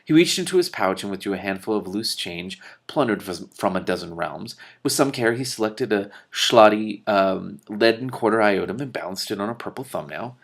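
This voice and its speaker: A man reading a book aloud expressively as host, breaking in with his own animated, off-the-cuff commentary. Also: on the low side, moderate pace, creaky, few pauses.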